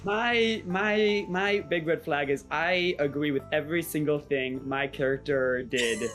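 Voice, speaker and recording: Androgynous mid-pitched young voice, joking. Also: a little slow, fairly bright tone. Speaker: voice actor in a fan audio drama. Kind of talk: casual group chat. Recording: background music under the talk.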